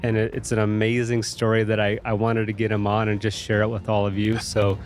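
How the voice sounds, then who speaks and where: A man's voice: on the low side, monotone, fairly quick. Man hosting an interview over light music. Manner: friendly, brief affirmations. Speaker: podcast host.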